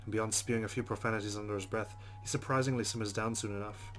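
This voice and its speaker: A man reads as a rueful young woman narrating her private thoughts in a visual novel. The voice is low and even, with a put-on Irish accent, over soft music. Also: fast, natural voice. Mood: wry.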